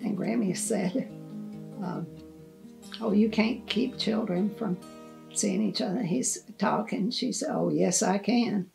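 An older woman in a documentary interview, reflecting slowly and gently on family memories. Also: medium pitch, creaky, soft background music, rough recording. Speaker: interviewee, mother-in-law. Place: indoors in leather armchair.

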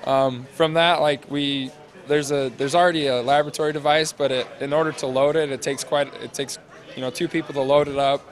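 A man in his twenties, a student interviewee, explaining a project off the cuff in casual, halting phrases into a handheld mic. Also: fairly high pitch, steady tone. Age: 20s. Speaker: interviewee, senior engineering student presenting a project. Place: large glass-walled university hall or lobby. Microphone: handheld interview microphone held out to him.

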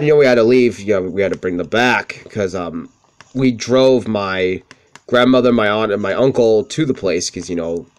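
A young man with a high-pitched voice, swearing freely as he tells rambling stories over gameplay. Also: clean tone. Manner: profane, rambling, self-deprecating. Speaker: let's play commentator. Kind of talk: casual gameplay commentary with storytelling.

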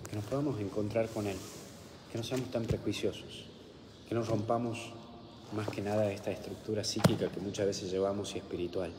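Man, Argentine accent, priest, very low voice, solemn measured prayer, long hall echo. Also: fairly even intonation. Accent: Argentine Spanish. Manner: solemn liturgical recitation, slow and measured in prayers. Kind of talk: homily and celebrating Mass. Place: small chapel with altar, indoors. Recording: roomy hall echo with background hiss.

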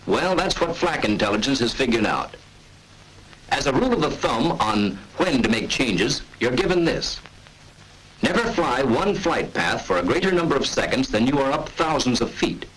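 A man narrates a 1940s military training film in a measured, authoritative way. His voice is husky, with a lively, rising and falling pitch. It sounds like an old film soundtrack. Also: low-quality recording. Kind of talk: scripted instructional narration.

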